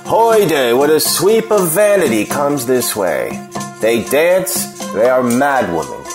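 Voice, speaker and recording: A man's voice: mid-range voice, sing-song, slow, music in the background. A man playing a bitter, sardonic cynic, declaiming in a Shakespeare audio play reading. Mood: bitter scorn. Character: bitter, scornful male cynic railing at vanity.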